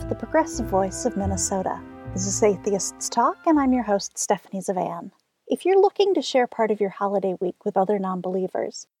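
A woman presents a radio show in a scripted announcing style. Her pitch is medium, with faint music underneath. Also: darkish tone. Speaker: radio host.

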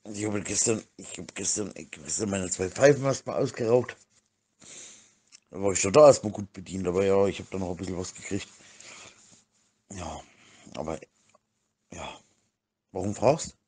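A man's voice: low-pitched, normal speed, long pauses, darkish tone, husky. A middle-aged man from Germany talking casually as in a private voice message, rambling in slang and repeating himself.

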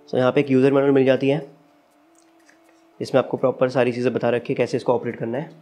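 A man's voice: mid-range voice, level tone, quick pace, mellow, faint music behind. A man with an Indian accent giving a casual, step-by-step unboxing review as the channel's host. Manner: explanatory, step-by-step.

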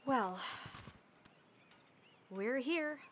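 A woman's voice: sits high, animated, very slow, fairly bright tone, a little hiss or hum, heavily acted. A woman playing a caring, teasing young village archer and loyal friend in an audio drama. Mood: concerned, gentle.

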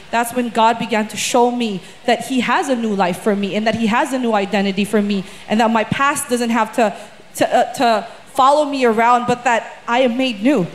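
A woman's voice: a little high, slight background noise. A woman in her thirties giving an earnest personal testimony on a church stage, often repeating words as she gathers her thoughts. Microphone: handheld mic.

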